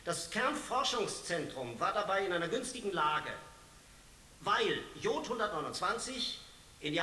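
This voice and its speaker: A man's voice: high voice, sharp tone, exaggerated voice. An actor in a radio drama playing a formal, pedantic male scientist presenting his findings. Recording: roomy echo with audible hiss.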